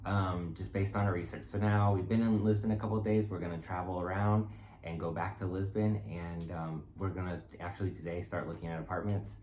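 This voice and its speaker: Very deep-voiced man in an echoey room. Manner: relaxed, halting with fillers and restarts. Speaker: vlog co-host. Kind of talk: casual chat. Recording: echoey room with faint noise.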